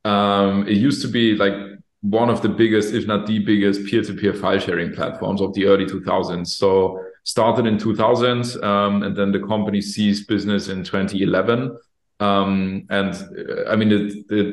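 A man from Germany with a German accent, in his late twenties or early thirties, answering interview questions in an easygoing way and often repeating words while he thinks, over a video call. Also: on the low side. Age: late 20s to early 30s. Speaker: guest, company executive. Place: office room with plain wall. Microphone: video call, webcam. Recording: roomy echo on a video call.

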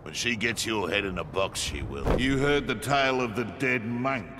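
A man's voice: mid-range voice, animated, moderate pace, noisy background, lightly acted. A man voicing a cocky, greedy cyborg mercenary with an Australian accent, taunting in slang for a video game. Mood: smug taunting. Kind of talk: video game fight banter. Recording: long reverb over loud music.

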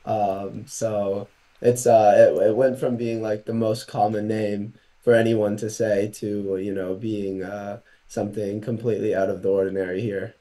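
A young man from Portugal, a college tennis player, answers interview questions over a video call in a relaxed, hesitant way with many pauses, speaking through a laptop mic. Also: fairly low pitch, rough recording. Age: young adult.

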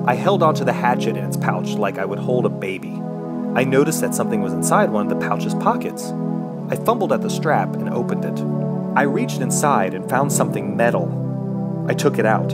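An actor playing an injured, desperate man trapped in the woods, narrating a horror radio drama in a halting, dramatic way. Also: medium pitch, lightly acted. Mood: intent. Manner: dramatic, halting, with anguished outbursts. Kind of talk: first-person horror radio drama monologue. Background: music.

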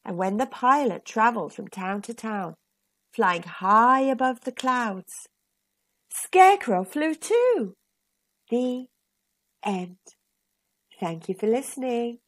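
A woman with a high voice narrates a children's storybook. She reads slowly and expressively, with lots of melodic rise and fall. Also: animated, fairly bright tone. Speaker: children's storytime host and reader.

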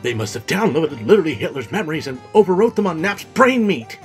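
A pedantic, chatty octopus, voiced by an actor in an audio drama. The pitch jumps about, with faint music underneath. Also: sing-song, fast talker, lightly acted. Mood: earnest.

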